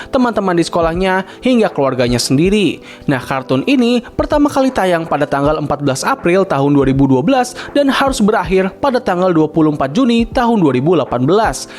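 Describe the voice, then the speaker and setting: A man's voice: high-pitched, a little mellow. A man narrating a cartoon review video in a casual, slangy voice-over, talking straight to his viewers. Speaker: cartoon review channel host.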